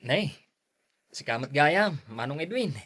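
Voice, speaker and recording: A man's voice: a little high, big pitch swings, unhurried, a bit bright, no echo, clean studio sound, full character voice. A man with an Ilocano accent acting a meek, deferential young suitor in a radio drama. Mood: respectful. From Philippines.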